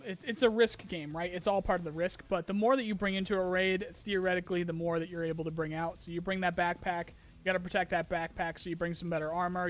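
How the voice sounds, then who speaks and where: Hoarse high-voiced man streaming casually. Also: fairly bright tone. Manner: rambling, many ums and restarts, apologetic. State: losing his voice, clearing throat. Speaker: streamer host answering chat questions.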